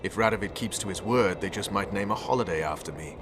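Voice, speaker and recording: A man's voice: low voice, fast talker, noisy background, close to an everyday voice. A male actor playing a hard, vengeful special forces commander in a video game, speaking in a stern, blunt, resolute manner. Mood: firm. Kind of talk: dramatic video game acting.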